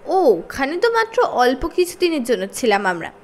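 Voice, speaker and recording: Woman playing a haughty, prissy high-voiced schoolgirl. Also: big pitch swings, normal speed, slight background noise. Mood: boastful. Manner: haughty, dramatic exclamations. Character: boastful, affected, prissy young schoolgirl.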